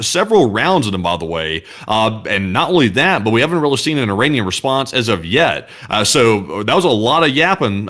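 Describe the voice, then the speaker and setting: A man's voice: fairly low pitch, a bit bright. A man hosting a live news commentary stream, talking in a conversational, hedging way with many fillers. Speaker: host.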